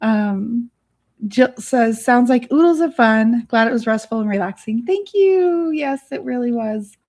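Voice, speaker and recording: A middle-aged woman with a raspy, hoarse voice, hosting a casual live chat from her home studio on a studio mic. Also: fairly high pitch, expressive, a little slow, a little mellow. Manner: chatty, bubbly, giggly. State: hoarse, worn voice. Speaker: livestream host. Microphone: studio microphone.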